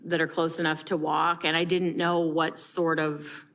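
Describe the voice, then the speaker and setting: An American woman with a fairly low voice talks through questions at a council meeting. Her manner is informal and conversational, with hesitant restarts. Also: level tone, unhurried, fairly bright tone. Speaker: council member. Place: large council chamber, indoors.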